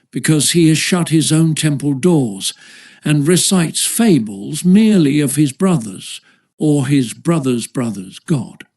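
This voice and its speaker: A man narrates a classic essay in audiobook style. His voice sits a little higher than average for a man. The delivery is formal and measured, shaped like careful literary reading. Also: darkish tone.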